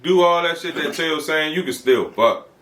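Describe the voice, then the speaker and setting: A man's voice: fairly high pitch, normal speed, a bit bright, echoey room, a little hiss or hum. A man in his thirties co-hosting a podcast, talking bluntly and profanely in a casual debate, laughing as he makes his points. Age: 30s. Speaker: podcast co-host.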